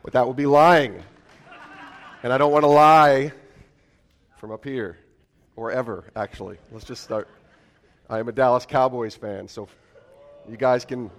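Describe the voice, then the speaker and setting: A man in his forties, originally from upstate New York, preaching a church sermon in a raspy, cold-roughened voice and joking with the congregation. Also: measured pace, long pauses. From Albany, New York. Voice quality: raspy, deeper than usual. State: hoarse from a cold. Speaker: guest preacher. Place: church sanctuary.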